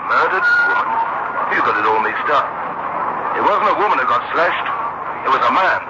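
A man's voice: on the high side, wildly swooping pitch, normal speed. An actor playing a brusque, officious patrol policeman with a commanding manner, in 1960s radio drama style. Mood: puzzled, curt. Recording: muffled, very noisy old radio recording.

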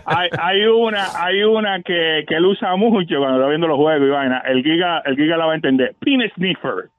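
A man's voice: on the high side, a little fast, dry recording, narrow, tinny audio. Male radio guest, loose and joking, dry studio sound. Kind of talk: casual radio banter.

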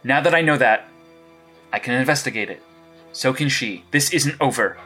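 Brief: male actor as a persistent licensed hero detective in an audio drama, crisp bright edge. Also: medium pitch, normal speed, faint music behind, close to an everyday voice. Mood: probing. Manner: probing, persistent questioning.

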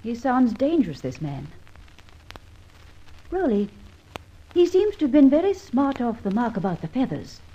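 A woman's voice: a little high, very animated, normal speed, pauses often, mellow, slightly rough, exaggerated voice. An Australian actress playing a curious young woman accomplice in a 1950s radio drama, asking questions eagerly. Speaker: supporting character. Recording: dull old radio recording.

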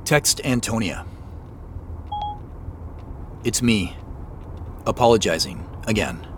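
Subdued, slow-talking man, low voice. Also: big pitch swings, a little hiss or hum. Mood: subdued, apologetic. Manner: hesitant, muted, sheepish. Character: subdued, guilt-ridden man obsessed with a mystery. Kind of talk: naturalistic audio drama dialogue.

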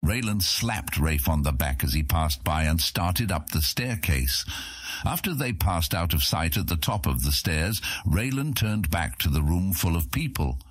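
A man's voice: low-pitched, animated, a little slow, slightly rough, no room sound. A man narrating a scripted fantasy audiobook in a dramatic storytelling style.

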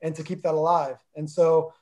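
A man with a New Jersey accent, who spoke Armenian first, talking earnestly as an interview guest and pausing often with fillers. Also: a little high, flat delivery, a little mellow. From New Jersey, USA. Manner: earnest, thoughtful, with many fillers and restarts. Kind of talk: casual interview talk.

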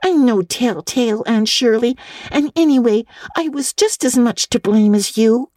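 A woman voicing a loyal, indignant, excitable young girl, hushed and emphatic, in a classic audiobook reading. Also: on the high side, a little slow, no echo, clean studio sound, pitched above the performer's own voice.

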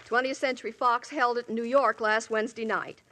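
A woman Hollywood reporter in a 1950s radio spot, chatty and eager. Her voice sits a little high. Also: steady tone, brisk, pushed and bright. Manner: chatty, enthusiastic. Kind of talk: scripted radio ad chat.

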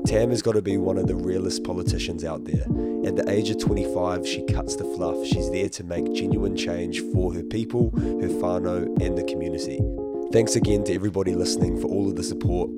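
Deep-voiced New podcast host. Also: male voice, fairly even intonation, a little fast. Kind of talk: podcast intro and interview. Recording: loud music under voice.

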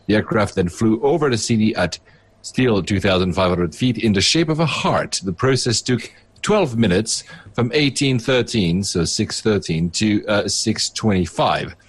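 A man's voice: lowish voice, expressive, normal speed, breathy edge. A man from Switzerland, a podcast co-host, chatting casually and rambling through a story with many fillers and restarts. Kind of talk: casual chat.

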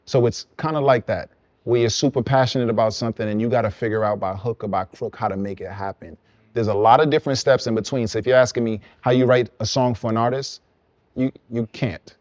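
A young man from Brooklyn, a songwriter guest, explaining his path in relaxed, reflective casual conversation. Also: medium pitch, fast talker, no room sound. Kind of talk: casual podcast chat.

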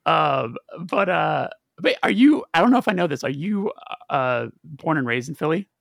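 A man's voice: on the high side, big pitch swings, moderate pace, vocal fry, fairly bright tone, no echo, clean studio sound. A man hosting a casual podcast, talking loosely with lots of fillers and restarts. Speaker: podcast host.

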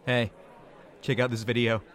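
A man's voice: medium pitch, little pitch movement, moderate pace, a bit bright, lightly acted. A man playing a cocky, argumentative call-centre worker in an audio drama, with a self-righteous manner. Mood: cocky, needling.

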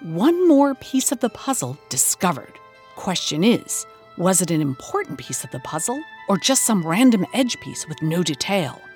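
A woman playing a wry investigative podcast host, narrating a scripted mystery with deliberate suspense. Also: fairly low pitch, very animated, darkish tone. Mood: intrigued. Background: music.

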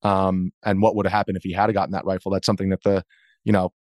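American male interview guest, thirties. Lowish pitch, dry sound, measured and earnest, reasons aloud. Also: flat delivery, a little mellow, no echo. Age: 30s. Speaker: interview guest, video journalist. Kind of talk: reflective interview account.

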